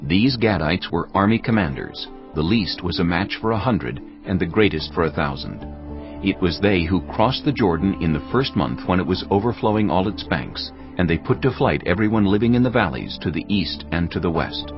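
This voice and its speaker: Very deep, expressive male scripture narrator. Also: normal speed, lo-fi sound. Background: background music.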